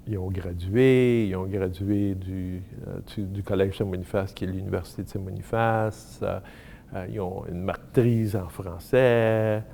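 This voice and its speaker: A man telling about his in a halting, self-mocking way, slipping into English words. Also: sits low, steady tone, creaky, warm tone, a little hiss or hum. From Manitoba. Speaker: interviewee. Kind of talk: testimonial talk.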